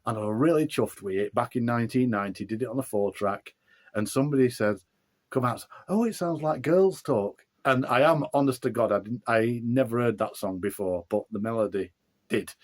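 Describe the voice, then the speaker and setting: A man's voice: medium pitch, brisk. A middle-aged man with a broad Yorkshire accent, an author chatting casually in an interview, rambling with fillers and restarts.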